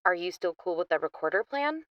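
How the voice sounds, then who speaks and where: An actress playing a calm, measured young woman investigator in an audio drama. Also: fairly low pitch, no room sound, muffled recording.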